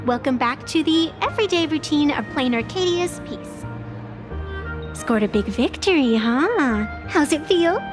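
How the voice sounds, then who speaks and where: A woman's voice: high voice, animated, measured pace, music in the background. An actress playing a playful, theatrical young woman with secret powers in a game, with a dramatic delivery. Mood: teasing, upbeat. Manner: teasing, dramatic. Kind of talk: scripted game dialogue.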